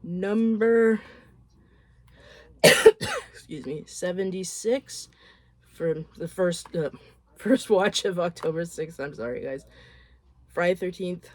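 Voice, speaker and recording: Woman, hoarse with a cold, chatty, frank, casual film rundown. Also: fairly low pitch, sing-song, slow pace, pauses often, no room sound. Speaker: horror film vlogger. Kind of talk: casual movie recap.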